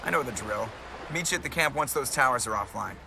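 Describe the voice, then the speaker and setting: A man playing a brisk, cocky military spaceship pilot in a video game, speaking in a clipped, businesslike manner. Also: medium pitch, lively intonation, gravelly, noisy background. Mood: brisk, urgent. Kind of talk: video game dialogue acting. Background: loud music.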